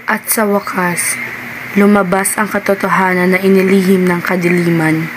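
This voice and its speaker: A woman's voice: on the low side, level tone, moderate pace, very noisy. A woman with a Filipino accent giving dramatic scripted Tagalog narration as a radio drama host.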